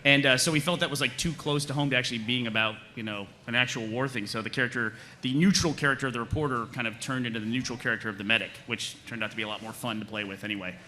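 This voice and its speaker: Bright-voiced man hosting a live panel. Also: mid-range voice, steady tone, rapid-fire, few pauses. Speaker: panel host and series creator. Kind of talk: casual live panel talk. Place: convention room with audience. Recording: live hall, faint crowd and noise.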